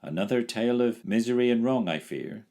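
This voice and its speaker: A man's voice: medium pitch, lively intonation, brisk, warm tone, voice pushed up, close to an everyday voice. A man playing a stately, kindly knight. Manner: stately, grand. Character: kindly, noble knight, grand and solemn.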